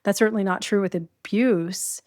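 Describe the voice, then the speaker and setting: A woman's voice: medium pitch, moderate pace, darkish tone, no room sound, studio quality. A middle-aged woman, a podcast guest, candidly telling her own story in a conversational interview. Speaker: guest, domestic violence survivor.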